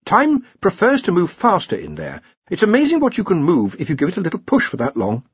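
Man as an earnest, high-pitched young wizard boffin. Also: huge pitch swings, fairly quick, breathy edge, no echo, highs cut off, exaggerated voice. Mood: apologetic. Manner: deferential, hesitant, explanatory. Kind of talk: audiobook character voice.

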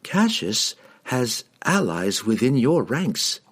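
A man voicing a sly halfling in a fantasy audiobook, feigning meek obedience in measured, persuasive speech. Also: medium pitch, sing-song, husky, pitched above the performer's own voice, heavily acted. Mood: baiting.

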